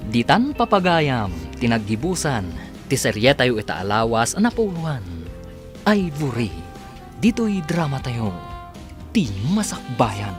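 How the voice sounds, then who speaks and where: A man with an Ilocano accent announcing radio drama credits in a scripted, projected style with drawn-out endings. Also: mid-range voice, big pitch swings, over music.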